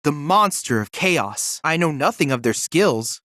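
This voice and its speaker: A man's voice: fairly high pitch, sing-song, measured pace, fairly bright tone, dry recording, clean studio sound. A man voicing a polite, eager boy android researcher in a video game, speaking in formal, articulate, bookish sentences. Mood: congratulatory, formal. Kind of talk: scripted video game character dialogue.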